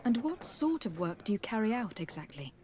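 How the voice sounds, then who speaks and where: A woman's voice: fairly high pitch, big pitch swings, brisk, a little mellow. A woman playing a poised, polite young noblewoman making enquiries in a video game. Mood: polite probing. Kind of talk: video game dialogue acting.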